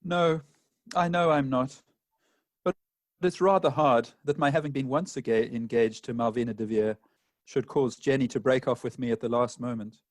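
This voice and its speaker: A man's voice: medium pitch, normal speed, no room sound, close to an everyday voice. A man playing a gloomy, anxious jilted bridegroom in a comic farce, plaintive and formal. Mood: glum. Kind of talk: comic stage farce acting.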